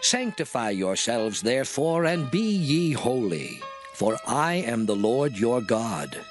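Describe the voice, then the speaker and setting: A commanding, dramatically inflected God of scripture. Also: male voice, mid-range voice, big pitch swings, soft background music. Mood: stern, condemning. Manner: solemn, declamatory, measured. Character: God of scripture, solemn male lawgiver issuing commandments.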